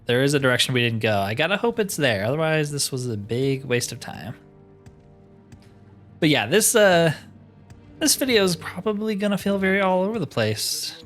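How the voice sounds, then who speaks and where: A man's voice: medium pitch, fairly bright tone. A man giving casual, chatty let's-play commentary, often explaining himself in asides. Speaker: host of a gaming playthrough.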